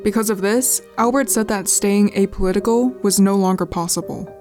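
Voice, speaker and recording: A woman's voice: medium pitch, moderate pace, faint music behind. A young adult woman from California with an American accent, reading scripted narration as a podcast host. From California, United States.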